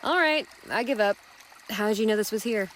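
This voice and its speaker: A woman's voice: mid-range voice, normal speed, a bit bright, natural voice. A woman playing a wry, guarded young cyborg in love, teasing and slightly awkward, in a romantic audio drama. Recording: clear noise with trickling water behind. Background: running creek water.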